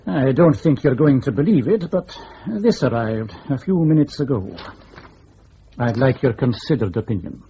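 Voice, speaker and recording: An actor playing a disgruntled, formal section leader in an intelligence service, speaking hesitantly in a radio drama. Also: male voice, medium pitch, lively intonation, moderate pace, mellow, natural voice. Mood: terse. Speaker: senior intelligence officer. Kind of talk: broadcast radio drama acting. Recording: muffled radio recording, faint hiss.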